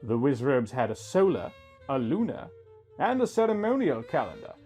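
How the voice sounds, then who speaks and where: A man's voice: mid-range voice, big pitch swings, moderate pace, a little mellow. A man narrating a scripted first-person story. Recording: music under the voice. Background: music.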